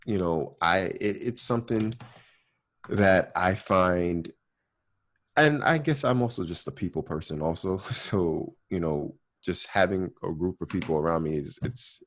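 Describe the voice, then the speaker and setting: Young male host, very low voice, laid-back reflective chat. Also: lively intonation, unhurried. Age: young adult. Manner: thoughtful, fillers like "you know".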